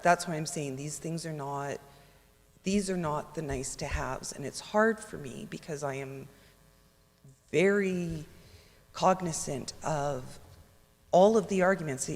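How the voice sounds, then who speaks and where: A man speaking as a city councillor in a council debate, hoarse from losing his voice, earnest and halting as he restarts phrases. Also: a little high. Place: council chamber. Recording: reverberant council chamber.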